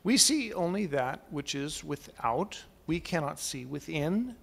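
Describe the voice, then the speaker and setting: A grand old house given a voice, as a man puts it on, speaking as "we" in a calm, formal, wondering manner. The tone is gravelly and husky, with a lively rise and fall. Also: fairly high pitch, a little slow, full character voice. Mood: solemn. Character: an ancient, solemn house speaking as "we".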